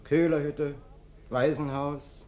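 An actor playing an uncouth, sly vagrant guest who acts humble and self-pitying, in a German radio play. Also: male voice, a little high, steady tone, slow pace, dark tone. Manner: plaintive, falsely humble, insistent.

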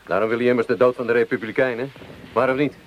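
An actor playing a sceptical, needling French soldier with a challenging, sardonic manner in a radio play. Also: male voice, mid-range voice, fairly even intonation, fast, lightly acted. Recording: dull lo-fi old radio recording.

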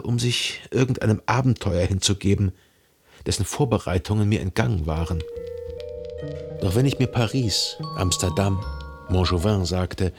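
An actor playing a brooding, obsessive jilted lover who narrates his thoughts in an introspective, deliberate way in a literary radio drama. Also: fairly low pitch, darkish tone, breathy edge. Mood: anxious brooding. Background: music.

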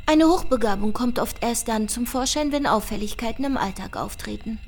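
A woman's voice: a little high, lively intonation, moderate pace, a little hiss or hum. Precise female analyst Lexis. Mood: cool, factual. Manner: precise, encyclopedic, factual. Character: gifted female cold case analyst named Lexis, coolly factual. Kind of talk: radio drama dialogue.

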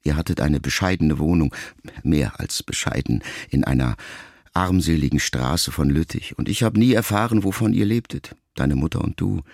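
Deep gravelly man reading quietly. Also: expressive, moderate pace. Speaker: narrator reading a letter. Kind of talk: scripted literary narration in a radio production.

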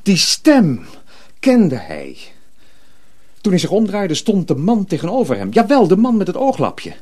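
Animated male storyteller, suspenseful delivery. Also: sits high. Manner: deliberate, suspenseful. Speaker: narrator. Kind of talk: dramatic scripted story narration.

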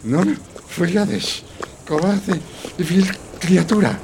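An actor playing an excitable, deluded, loyal sidekick in an audio drama, melodramatic and blustering. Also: male voice, high voice, takes it slowly, background noise, full character voice. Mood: alarmed, bombastic. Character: excitable, deluded, loyal male sidekick given to quixotic charges.

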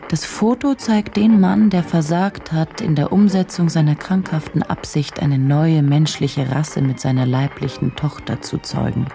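Brooding woman journalist in a radio drama, low dark voice, intense and indignant, faint music behind. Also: unhurried, creaky, few pauses. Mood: scathing. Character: driven, troubled female journalist, brooding and indignant. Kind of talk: German radio drama, dialogue and inner monologue.

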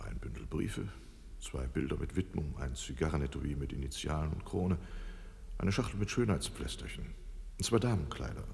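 An actor in a radio play voicing an official who gives a dry, itemised report. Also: male voice, rumbling low, moderate pace, vocal fry, darkish tone. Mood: dry, smug. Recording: reverberant hall.

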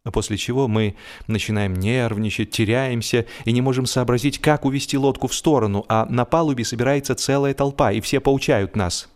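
Man narrating a humorous story, medium pitch. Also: a little fast. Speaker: audiobook narrator. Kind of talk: humorous scripted literary narration.

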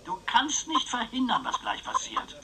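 An actor playing an eccentric, playful man in his eighties in a radio crime drama, stubborn and scheming, with theatrical, drawn-out phrasing. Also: extremely high pitch, very animated, a crowd in the background. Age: 80s.

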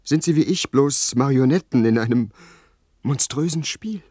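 An actor playing an anguished, brooding young lord, breathy and melodramatic, in a radio play. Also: male voice, medium pitch, moderate pace, dry recording, studio quality, natural voice. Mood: bitter, brooding. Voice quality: breathy, hushed. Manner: haunted, melodramatic. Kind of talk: German radio play acting.

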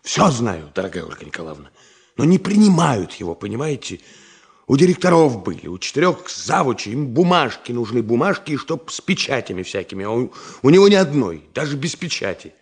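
A man's voice: medium pitch, big pitch swings, brisk, breathy edge. An actor playing an impulsive, big-hearted engineer in a Soviet radio drama, coaxing insistently and repeating his words. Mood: agitated, insistent.

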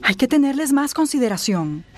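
A woman's voice: sits high, very animated, brisk. An actress in a radio drama playing a composed, compassionate professional woman in charge of an office. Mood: gently reproving. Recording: clear background ambience noise.

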